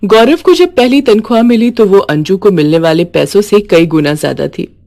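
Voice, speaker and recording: A woman's voice: medium pitch, lively intonation, normal speed, mellow. A woman reading a scripted moral story as a storyteller.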